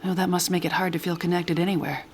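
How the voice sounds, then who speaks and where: A woman playing a calm, compassionate leader of secret ageless survivors in an audio drama, speaking gently and earnestly. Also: fairly low pitch, fast, natural voice. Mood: probing, resolved. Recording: music behind.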